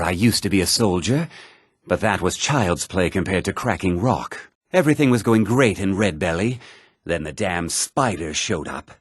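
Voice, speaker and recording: An actor playing a proud, gruff miner and former soldier in a video game. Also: male voice, low voice, no room sound, clean studio sound. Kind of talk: video game character lines.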